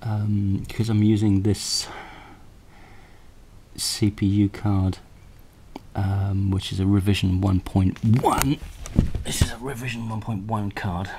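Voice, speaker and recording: A man's voice: fairly low pitch, fairly even intonation, slow, mellow. A man giving a casual, unscripted tech demo, hesitant and thinking aloud as he goes. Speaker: presenter of a hardware prototype demo. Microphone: handheld camera microphone. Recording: noisy handheld camera recording with clicks.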